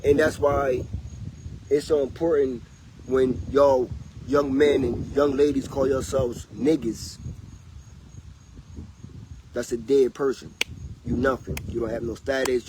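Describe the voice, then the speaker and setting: A man rambles and preaches in a loose, easygoing way on a casual livestream. His voice sits at an ordinary pitch, recorded outdoors with faint cricket and insect noise behind him. It sometimes drifts away from the mic. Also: flat delivery, measured pace, long pauses. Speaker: vlogger.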